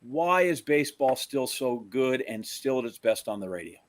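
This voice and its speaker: A man's voice: mid-range voice, expressive, normal speed, dry recording. A middle-aged American man hosting casual sports talk, anecdotal and opinionated in his questions. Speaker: sports talk host, interviewer.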